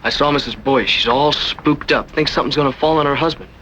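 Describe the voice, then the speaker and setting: An actor playing a naive, insistent young sidekick with folksy talk in an old western radio drama. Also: male voice, medium pitch, fairly quick, pushed and bright, close to an everyday voice. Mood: anxious. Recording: noisy old radio recording.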